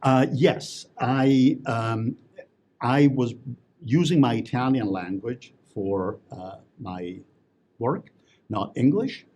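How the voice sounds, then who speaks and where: Husky-voiced man telling stories. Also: medium pitch, takes it slowly, darkish tone. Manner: chatty storyteller with frequent fillers and restarts. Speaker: interview guest. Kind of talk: casual interview conversation.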